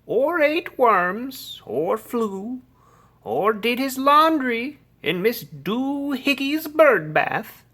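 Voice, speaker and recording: Someone doing a fed-up mama cat telling off her kid, super slow, big swoopy storybook voice. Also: medium pitch, sing-song, sharp tone, heavily acted. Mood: scolding. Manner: emphatic, singsong scolding. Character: scolding mother cat, exasperated and lecturing.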